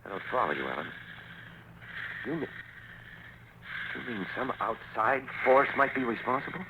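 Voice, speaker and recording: A man's voice: medium pitch, very animated, normal speed. An actor playing an earnest, alarmed younger man, a professor's associate, in a 1940s suspense radio drama, with urgent dramatic delivery. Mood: puzzled, thoughtful. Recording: noisy old radio broadcast recording.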